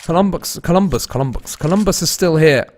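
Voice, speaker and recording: A young man casting an esports match, laid-back and tired between plays, rising to fast, excited calls when the action heats up. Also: a little high. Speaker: esports caster. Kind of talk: live esports commentary mixed with chatting to viewers.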